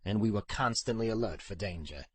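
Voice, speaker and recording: A man voicing a brooding older man in an audiobook, telling of a painful past in a somber, measured way. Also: fairly low pitch, breathy edge, no room sound. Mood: somber, regretful. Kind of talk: audiobook character acting.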